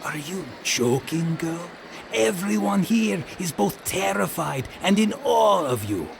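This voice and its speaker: A gravelly, low-sounding attendant, speaks with wry familiarity to a noble mistress. It is audio drama, with noisy ambience behind. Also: female voice, lively intonation, slow pace, exaggerated voice. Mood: wry, admiring.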